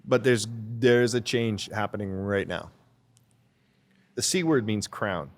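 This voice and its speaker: A man's voice: on the low side, slow, in a large hall. A man talking as a guest in a casual interview, rambling and repeating words as he searches for his thoughts. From Canada.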